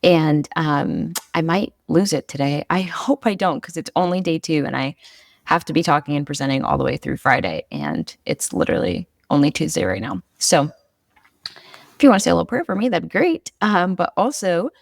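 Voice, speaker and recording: A young woman hosting a casual faith podcast, talking softly to spare a strained, slightly raspy voice in an earnest, encouraging, chatty way. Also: low voice, expressive, moderate pace, no room sound, clean studio sound. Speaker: podcast host.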